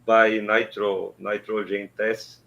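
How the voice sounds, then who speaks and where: Brazilian guy calling in, halting english, slow and gravelly, kinda muffled lo-fi sound. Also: on the low side, little pitch movement, fairly bright tone. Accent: Brazilian Portuguese. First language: Portuguese. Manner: halting, searches for English words. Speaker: caller. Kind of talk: casual chat. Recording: dull lo-fi line.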